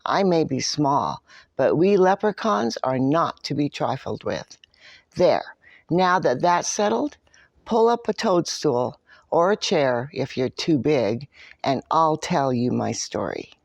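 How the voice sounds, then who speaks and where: A woman's voice: deep voice, lively intonation, takes it slowly, gravelly, no room sound, exaggerated voice. A woman voicing a grumpy, boastful little old male leprechaun shoemaker from a storybook, feisty and indignant. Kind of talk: storybook character narration.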